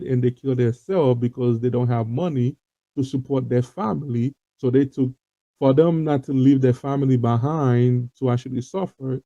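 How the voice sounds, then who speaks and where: Haitian-accented male host, rough gravelly timbre, earnest and rambling, dull lo-fi recording. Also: mid-range voice, dark tone, no echo. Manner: earnest, many fillers and repetitions. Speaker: host of an online talk show. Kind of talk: informal monologue to viewers.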